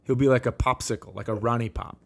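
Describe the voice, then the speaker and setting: A man's voice: mid-range voice, steady tone, fast talker, creaky. Comedian guy on a podcast, regular mid voice, teasing and joking around casually.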